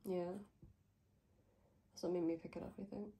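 A woman's voice: lowish voice, normal speed, creaky. A woman chatting casually and laughing while she opens presents at home at a table. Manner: relaxed, giggly and agreeable. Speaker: vlogger.

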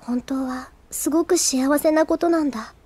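A woman playing an earnest, shy, soft-hearted teenage schoolgirl in an anime audio drama, sincere, hesitant and reflective. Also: high voice, normal speed, lightly acted. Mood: tender, yearning. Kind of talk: Japanese anime audio drama acting with inner monologue.

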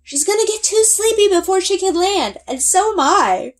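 Woman as a bold young girl, high and emphatic. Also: expressive, normal speed. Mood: alarmed. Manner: raised, emphatic calling.

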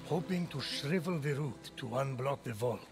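A solemn titan storyteller, played by a man in a video game. He speaks lyrically and patiently at a medium pitch, with loud music all around him. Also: expressive, normal speed, natural voice. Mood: solemn, grand.

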